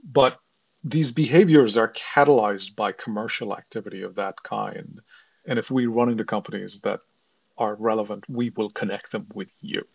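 Husky, gravelly male podcast host. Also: lowish voice, normal speed, no room sound, lo-fi sound. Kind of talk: conversational interviewing.

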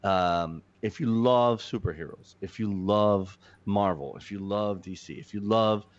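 Low-voiced man, dull dry lo-fi sound. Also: measured pace. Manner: animated, swears, jokes, gets choked up. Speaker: filmmaker guest. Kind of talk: casual podcast chat.

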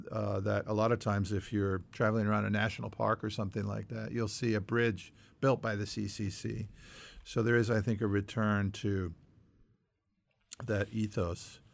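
A man co-hosting a podcast, talking through news points in a measured, explanatory way with frequent qualifiers and a gravelly edge. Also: on the low side, fairly even intonation. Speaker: podcast co-host. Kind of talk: conversational news discussion working through numbered points.

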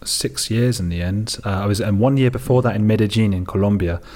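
An English man in his thirties, a guest in a relaxed conversation, chatting and joking about himself. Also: fairly low pitch, a little fast. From England. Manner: chatty, joking, self-deprecating. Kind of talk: casual conversation.

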